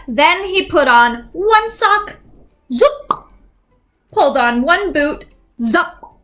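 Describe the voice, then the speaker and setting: A young woman teacher reading a picture book aloud to young learners, slow and expressive, acting out the sound effects, then asking simple questions. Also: very high-pitched.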